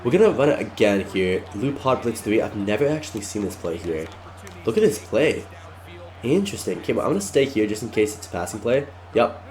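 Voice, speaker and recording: A congested man narrating his own video game match live, excitable and shouting at close plays. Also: fairly low pitch, fairly quick, soft background music. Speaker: gaming YouTuber. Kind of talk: live gameplay commentary.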